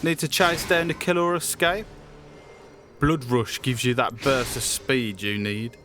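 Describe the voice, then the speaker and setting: A man's voice: medium pitch, unhurried, a bit bright, faint music behind. A man presenting a game character showcase in a scripted promotional narration style.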